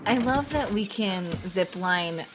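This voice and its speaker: A woman's voice: medium pitch, animated, slow, slight background noise. A woman doing casual, playful co-commentary on a live game stream in an effusive way. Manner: playful, effusive, uses internet slang.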